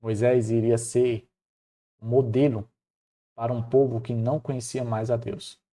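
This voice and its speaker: A man with a Northeastern Brazilian accent presenting an informal devotional Bible lesson, expressive and storytelling, using rhetorical questions. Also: mid-range voice, a little slow. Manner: expressive, acts out dialogue colloquially, rhetorical questions.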